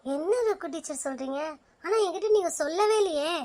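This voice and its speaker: A woman's voice: extremely high pitch, expressive, brisk, a little mellow. A woman playing a weary but agreeable junior schoolteacher in a school skit, chatty and prone to grumbling. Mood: curious.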